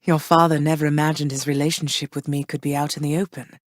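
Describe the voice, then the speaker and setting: A gentle, regal queen and caring mother in a studio game. Her voice sits a little low, and she speaks warmly and with measured calm. The recording is dry and close. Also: no echo. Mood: soft, affectionate. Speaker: game character.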